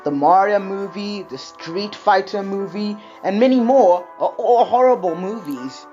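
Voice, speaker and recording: A woman's voice: medium pitch, lively intonation, a little slow, mellow, lo-fi sound. Roomy, distant woman giving opinionated commentary. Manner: conversational, rambling, exclamatory. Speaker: video host. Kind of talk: casual opinionated video commentary. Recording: roomy, distant mic, music underneath. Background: music.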